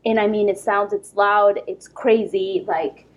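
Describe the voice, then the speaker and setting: A woman in her thirties from Ecuador, a podcast guest telling a story in casual chat, lively and full of fillers. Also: mid-range voice, a little slow, a crowd in the background, poor audio. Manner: lively, animated retelling with many fillers.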